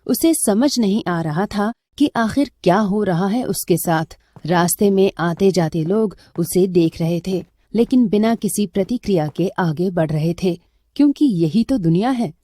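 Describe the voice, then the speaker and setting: Slow, mellow woman storyteller. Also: mid-range voice, dry recording, studio quality. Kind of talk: scripted dramatic story narration.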